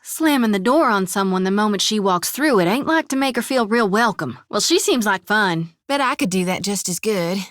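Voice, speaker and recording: A woman's voice: mid-range voice, lively intonation, moderate pace, hardly any pauses, fairly bright tone, dry recording, studio quality, lightly acted. An actress voicing a folksy young woman priest who carries a soul lantern in a video game, talking in a plainspoken drawl. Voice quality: folksy drawl, full of "reckon" and "ain't". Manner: plainspoken, earnest, sometimes wry. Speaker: game companion character. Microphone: close studio mic.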